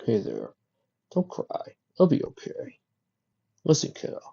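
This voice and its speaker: Male reader as storybook pony traveler, tender, reassuring, medium pitch, soft-spoken, dull recording. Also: normal speed, gravelly, slightly rough.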